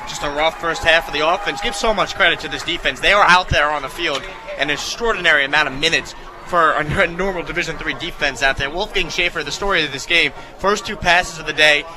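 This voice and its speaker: Very fast-talking American male color commentator. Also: fairly high pitch, music in the background, rough recording. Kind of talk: sports analysis on live radio broadcast.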